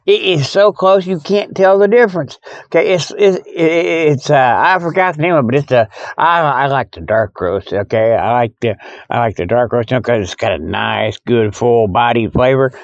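A man's voice: a little high, dry recording. A man hosting a rambling, casual show from his parked truck in a folksy, jokey, exclamatory way. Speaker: podcast host. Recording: dull, muffled lo-fi audio.

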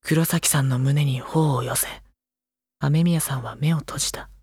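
A man's voice: on the high side, fairly even intonation, measured pace, slightly rough, no room sound, clean studio sound, full character voice. An actor playing a sensitive teenage boy who narrates an audio drama in a solemn, earnest, emotional and literary style. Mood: tender, mournful. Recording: loud string music behind narration. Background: cello and bowed string music.

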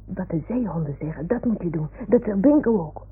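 Radio play role: imaginative little boy, earnest and very husky, on a thin, phone-like old recording. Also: lowish voice, animated, brisk. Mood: hushed wonder. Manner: childlike, earnest storytelling. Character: imaginative little boy talking with his toy animals.

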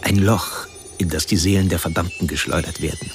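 Radio thriller killer: cerebral, cold, measured monologue, very deep creaky voice, music underneath. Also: male voice, steady tone. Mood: hypnotic, lecturing. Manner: measured, deliberate, lecturing. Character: cold, cerebral male killer narrating his obsessions. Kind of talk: radio crime drama monologue.

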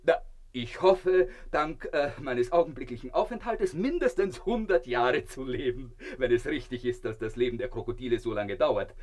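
A man's voice: medium pitch, animated, moderate pace, darkish tone, lightly acted. An actor in a radio play voicing a vain, blissfully self-satisfied man who lectures pompously from inside a crocodile. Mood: grandly self-satisfied. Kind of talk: theatrical radio play acting.

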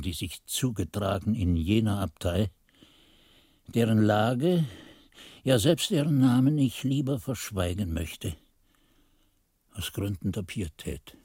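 An actor playing an aged, frail monk who solemnly recounts his youth in a radio drama, husky and measured, with phrases falling away to a hush. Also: fairly low pitch, dry recording, studio quality, heavily acted. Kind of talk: radio drama narration, archaic literary chronicle.

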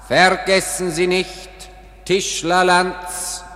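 An ominous summoner in a radio play, calling in drawn-out, very slow words. The high, stern voice rings through long reverb. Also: male voice, level tone, sharp tone, exaggerated voice. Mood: ominous warning. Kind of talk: radio drama acting.

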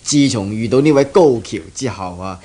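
A man's voice: mid-range voice, animated, normal speed, warm tone, natural voice. An actor playing an indulgent, wry middle-aged father in a 1970s radio drama, teasing patiently in Hong Kong Cantonese. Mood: amused, teasing.

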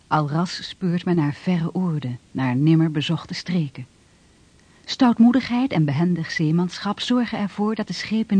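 A man narrating a historical radio drama in a solemn, measured way. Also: high-pitched, darkish tone, husky, no echo. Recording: dull lo-fi radio recording with music.

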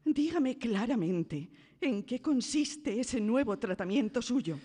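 A woman's voice: fairly high pitch, animated, slow, dark tone, husky, heavily acted. An actress with a Castilian Spanish accent playing an indignant, desperate mother, insistent and cutting, in a radio drama. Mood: incredulous anger. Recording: long reverb, faint music.

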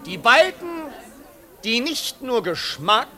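An actor playing a patronising, theatrical master of ceremonies at a costume party in a 1950s radio play. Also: male voice, very high-pitched, very animated, slow pace, pushed and bright, heavily acted. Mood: smug, amused. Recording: noisy 1950s radio recording, party crowd. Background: crowd of party guests.

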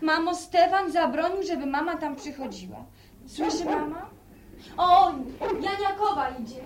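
An actress playing a young, practical village daughter in a radio drama, speaking firmly and admonishingly. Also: female voice, extremely high pitch, animated, measured pace, background noise. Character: practical, firm adult daughter in a village household.

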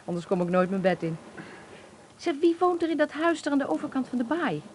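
Sensible sceptical woman on holiday, radio play, animated pitch, bit noisy. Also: a little fast. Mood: curious. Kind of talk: Dutch radio drama acting.

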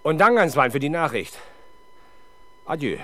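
An actor playing a world-weary, dutiful police inspector in a 1970s German radio crime drama, formal and halting in manner. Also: male voice, fairly high pitch, sing-song, a bit bright. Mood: subdued, polite. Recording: 1970s radio drama, clear background noise.

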